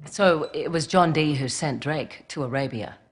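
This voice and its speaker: A woman's voice: sits low, normal speed. A woman playing a shrewd adventurer in a game cutscene, probing and questioning in a wry, natural manner. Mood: questioning, wary. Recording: game music behind the voice.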